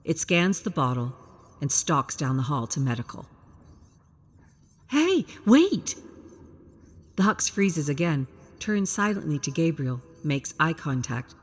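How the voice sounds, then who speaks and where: A woman's voice: low voice, expressive, normal speed. A woman reading a scripted story as a dramatic, suspenseful narrator. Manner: present-tense suspenseful storytelling.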